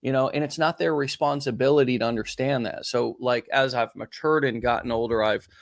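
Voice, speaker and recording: A man's voice: mid-range voice, steady tone, fairly quick, dry recording. Rambling male podcast host, dry sound. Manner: rambling, repeats words while searching for phrasing. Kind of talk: conversational interview.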